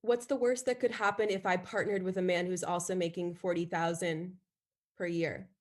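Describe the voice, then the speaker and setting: A woman's voice: fairly low pitch, fairly even intonation, normal speed. A woman in her twenties calling into an advice show, speaking hesitantly with many fillers over a video call. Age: 20s. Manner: hesitant, full of "like". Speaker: caller. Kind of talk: call-in conversation.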